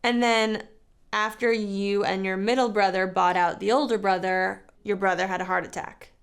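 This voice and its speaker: Smooth-voiced young woman asking questions. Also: mid-range voice, fairly even intonation, normal speed. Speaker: host interviewing her father. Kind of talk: conversational interview.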